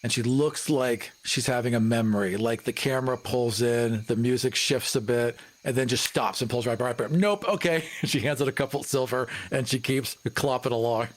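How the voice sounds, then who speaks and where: A man's voice: medium pitch, fairly even intonation, moderate pace, few pauses. A man playing a tabletop roleplaying game, casually narrating his character's actions in a descriptive, cinematic way.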